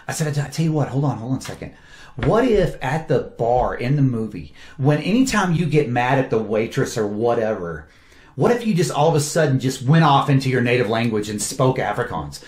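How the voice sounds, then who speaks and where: A man, a film director, talks through his cast with eager, animated enthusiasm. His voice sits at a middle pitch and carries a roomy echo. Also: fairly quick. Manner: enthusiastic, animated storytelling with quoted asides. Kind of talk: casual interview talk.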